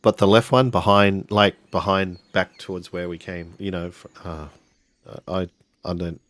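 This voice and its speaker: A man playing a tabletop roleplaying game, narrating his character's actions haltingly as he searches for words. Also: sits low, steady tone, a bit bright.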